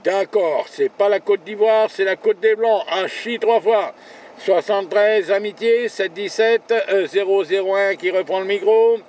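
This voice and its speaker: Higher-pitched man on radio, vehicle noise. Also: measured pace, darkish tone. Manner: repeats words, often ends phrases with "là". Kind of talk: casual radio contact chat with call signs and jargon. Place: inside a car, mobile radio station.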